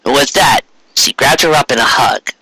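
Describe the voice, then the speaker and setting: A man reading a story aloud as narrator, with expressive, earnest delivery. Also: mid-range voice, moderate pace, slightly rough, no echo, poor audio. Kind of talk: scripted fan fiction storytelling.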